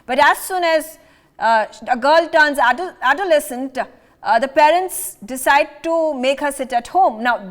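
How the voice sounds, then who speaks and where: A female news anchor, moderating a live panel and asking formal, long-winded questions. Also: high-pitched.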